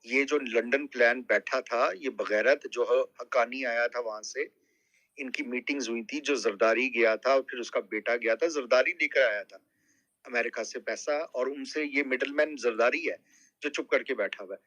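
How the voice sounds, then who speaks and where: Bright-voiced, emphatic Pakistani man. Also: medium pitch, dry recording, low-quality recording. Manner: emphatic, deliberate, asks rhetorical questions and checks for agreement. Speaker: political discussion participant. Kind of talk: impassioned political talk in a live group audio discussion.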